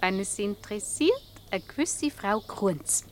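An actress playing a playful, cunning, flirtatious wife in a radio comedy, teasing and self-assured, with a Swiss German accent. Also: female voice, medium pitch, very animated, measured pace, slight background noise. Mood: teasing, knowing. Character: playful, cunning, flirtatious married woman fooling a detective.

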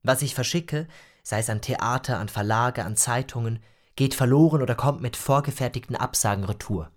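An actor playing an earnest, ironic writer recounting his youth in a measured, theatrical literary radio narration. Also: mid-range voice, natural voice. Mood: wry, reflective. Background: music.

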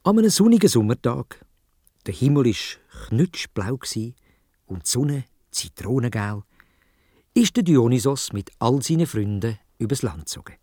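A man with a Swiss German accent telling a children's story in dialect, expressive and playful. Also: mid-range voice, dry recording, studio quality.

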